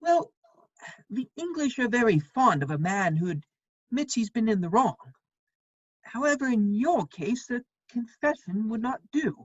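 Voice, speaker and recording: A woman playing a worldly, witty gentleman counselling a friend in a dramatic play reading, with wry, measured phrasing. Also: a little high, sing-song, no echo, lo-fi sound. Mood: sympathetic, counselling.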